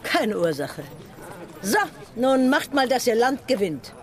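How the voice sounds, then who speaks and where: Brisk, bossy troupe woman. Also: on the high side, big pitch swings, a faint crowd. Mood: firm. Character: brisk, straight-talking theatre troupe woman in charge.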